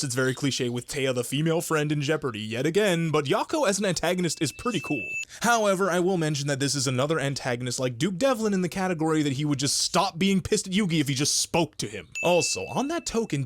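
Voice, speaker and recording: A man hosting a comic manga review, giving scripted, sarcastic and nitpicking commentary. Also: fairly high pitch. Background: music.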